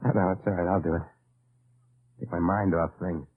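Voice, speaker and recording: An actor playing a guarded undercover spy in an old-time radio drama, restrained and terse. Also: male voice, deep voice, fairly even intonation, a little fast, husky. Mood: resolute. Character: guarded undercover American spy posing as German lieutenant. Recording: noisy, tinny old radio recording.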